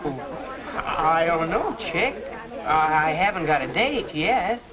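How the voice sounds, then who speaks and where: A shy, earnest American high school boy in an old educational film. He speaks haltingly and unsurely, with intonation that rises and falls a lot. The sound is dull, like a 1940s soundtrack. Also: animated, crowd noise. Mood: wistful, hesitant. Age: teenager. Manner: hesitant, halting.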